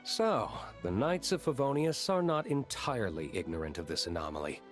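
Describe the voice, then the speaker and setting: A man playing a guarded gentleman vigilante in a video game, speaking in a measured, formal, dry manner. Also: lowish voice, sing-song, faint music behind. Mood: cool, probing.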